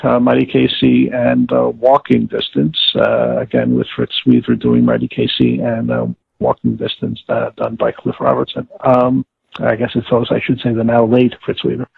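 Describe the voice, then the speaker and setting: An older man being interviewed, recalling past work in a halting, deliberate, wordy way and often correcting himself. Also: mid-range voice, lively intonation, gravelly, husky, no echo. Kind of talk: reminiscing interview. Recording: tinny narrowband call, like old radio.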